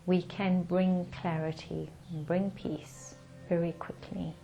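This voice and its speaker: A woman leading a guided meditation in a calm, soothing manner with gentle pauses. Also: on the low side, vocal fry, soft background music, low-quality recording.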